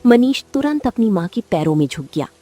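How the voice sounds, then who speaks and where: A woman narrating a cartoon story in a steady storytelling manner. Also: a little high, brisk, breathy edge, faint music behind.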